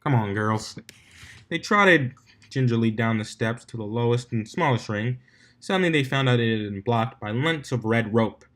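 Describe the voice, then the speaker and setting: Man narrating a story, mid-pitched. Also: expressive, moderate pace, fairly bright tone. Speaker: fan fiction narrator.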